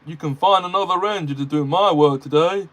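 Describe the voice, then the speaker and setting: A proud, self-important big steam engine from a storybook , put on by a man. He whines with indignation and brags of his importance, in a voice lifted well above the reader's own and pushed loud. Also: fairly high pitch, fairly quick, pitched above the performer's own voice, lightly acted. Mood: indignant. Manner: whiny, indignant, boastful. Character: pompous sulky big male steam engine.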